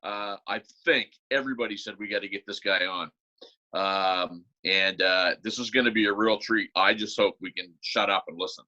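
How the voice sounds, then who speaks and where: A man's voice: fairly low pitch, moderate pace, sharp tone, muffled recording. A man chatting casually and informally as co-host of a talk show. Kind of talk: casual chat.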